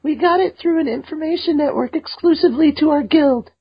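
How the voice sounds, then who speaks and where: A woman voicing a sweet, composed young woman mage in a fan podcast read-through, speaking in a soft, polite way. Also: high voice, a little fast. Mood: calm. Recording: muffled lo-fi recording.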